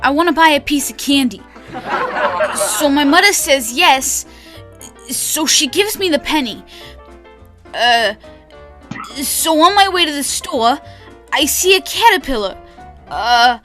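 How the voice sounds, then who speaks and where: A woman playing an earnest little boy who tells his story haltingly, in old time radio drama style. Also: high-pitched, expressive, pushed and bright, faint music behind, heavily acted. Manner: hesitant, childlike, rambling storytelling.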